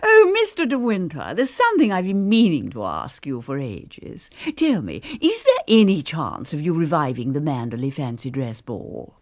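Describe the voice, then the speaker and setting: An actress playing an effusive, tiresome upper-class lady in a radio drama, gushing with drawn-out emphasis. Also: sits low, huge pitch swings, heavily acted. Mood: wheedling.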